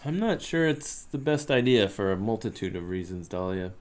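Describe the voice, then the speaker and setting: A man's voice: lowish voice, expressive, normal speed, lightly acted. A man playing an avuncular, somewhat cagey professor of occult studies in an audio drama, with a hammy, hesitant delivery. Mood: cagey, uneasy. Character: male occult studies professor, fond of corny jokes, cagey.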